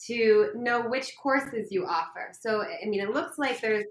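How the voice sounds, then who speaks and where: A woman's voice: on the high side, measured pace, roomy sound, slight background noise, low-quality recording. A woman co-hosting a casual interview, asking brief, direct questions. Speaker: podcast co-host.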